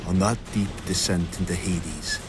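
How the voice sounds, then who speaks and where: An actor playing a wry, jaded doctor, narrating his memories in a measured way for a radio drama. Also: low voice, over music. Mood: wry, reflective.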